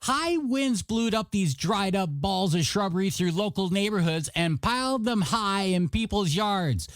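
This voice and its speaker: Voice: mid-range voice, expressive, unhurried, dry recording, clean studio sound. A radio DJ with upbeat patter and playful, chatty asides between songs. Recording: music under the voice.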